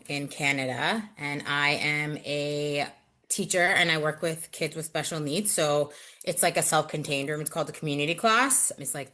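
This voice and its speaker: Interview guest, woman, 30s, casual and chatty, keeps the voice somewhat low and bright. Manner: chatty, full of "like" and quick asides.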